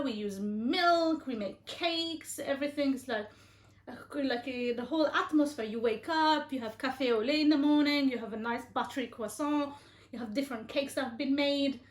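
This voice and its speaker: Woman chatting in an echoey room. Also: high-pitched. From Algeria. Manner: hesitant, many fillers and restarts. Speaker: co-host being interviewed. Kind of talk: casual conversational chat.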